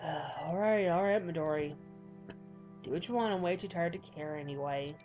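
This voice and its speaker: A woman voicing a tired, grumpy young man who mumbles in a low, weary voice. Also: moderate pace, dull audio, faint music behind, close to an everyday voice.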